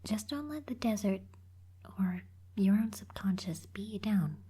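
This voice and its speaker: A woman voicing a teasing but caring young cactus girl in an ASMR roleplay, soft and slightly husky, blunt yet encouraging. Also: medium pitch, animated, unhurried.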